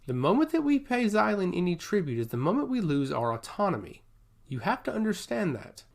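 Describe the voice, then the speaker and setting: A stoic older royal woman of a fantasy audiobook voiced by a man, shrewd and commanding. Her formal, measured lines sit slightly high with marked rises and falls. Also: big pitch swings, gravelly, full character voice. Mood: firm.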